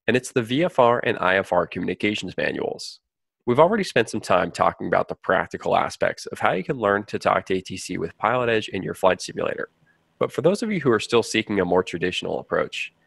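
A man reads scripted explanatory narration in a fairly low voice. There is noticeable creak in his tone, and the recording is dry and close. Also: dry recording. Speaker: narrator of a flight training promo.

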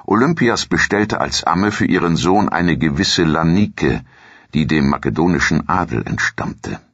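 A man reading a scripted historical audio documentary as narrator, in a measured, formal storytelling delivery. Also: extremely low pitch, lively intonation, a bit bright, breathy edge, no room sound, lo-fi sound.

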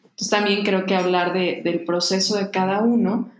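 A woman's voice: mid-range voice, fairly even intonation, normal speed, background noise. Reflective woman podcast host in an echoey room. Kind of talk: conversational podcast interview. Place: small home room, indoors. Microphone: large desktop microphone up close, headphones. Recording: roomy, echoey home recording.

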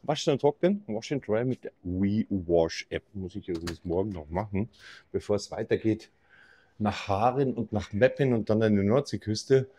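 A man's voice: on the low side, normal speed, warm tone, breathy edge. A middle-aged man from Bavaria, giving an upbeat, jokey walk-through tour of a campsite while filming himself. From Bavaria, Germany. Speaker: camping vlogger. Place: campsite outdoors and indoor reception office.

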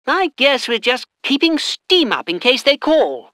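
High, bright British train soldier. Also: female voice, sing-song, unhurried, dry recording, studio quality, heavily acted. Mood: dutiful. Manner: clipped military reporting. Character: eager, dutiful British army train crew soldier. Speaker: game voice actor. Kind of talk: military strategy game unit voice lines.

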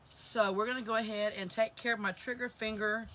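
A woman in her fifties with a hoarse voice, chatting to viewers as she works through a live craft project in her craft room. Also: a little high, fairly even intonation, fairly quick, a bit bright, a little hiss or hum. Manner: chatty, greets viewers while working with her hands. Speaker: craft channel host. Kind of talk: live crafting stream chat. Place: indoor craft room with shelves. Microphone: camera facing her across the table.

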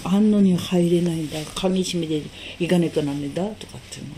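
A woman's voice: lowish voice, quick pace, warm tone, slight background noise. A woman with a Fukushima accent, casually recollecting as an interviewee. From Fukushima, Japan.

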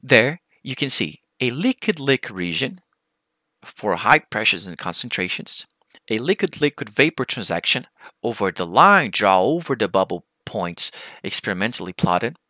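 A man giving a formal, scripted conference presentation as a researcher, with deliberate phrasing. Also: fairly low pitch, very animated, pushed and bright, dry recording.

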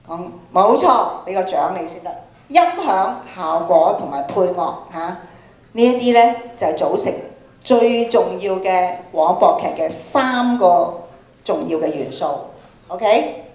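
A woman's voice: on the low side, expressive, measured pace, a little mellow. A woman with a Hong Kong Cantonese accent teaching a workshop to students, prompting them with questions and repeating phrases for emphasis, speaking on a handheld microphone in a large auditorium. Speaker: workshop speaker, radio drama trainer. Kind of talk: interactive lecture to students. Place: large school auditorium, indoors. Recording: echoey auditorium PA sound.

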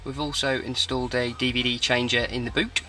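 A man's voice: medium pitch, little pitch movement, fairly quick, sharp tone, faint music behind. A man giving an informal, matter-of-fact product walkthrough as an installer, talking off the cuff. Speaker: car audio installer presenting a demo. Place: in and around a parked car.

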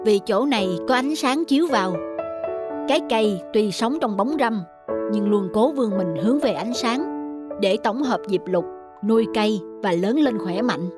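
A woman's voice: medium pitch, expressive, moderate pace, lightly acted. A woman voicing a caring middle-aged father, patient and encouraging, in a children's cartoon. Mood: explaining. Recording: music behind the voice.

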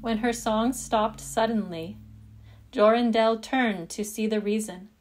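A woman narrating a fairytale in measured, deliberate storybook phrasing. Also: fairly high pitch, slight background noise.

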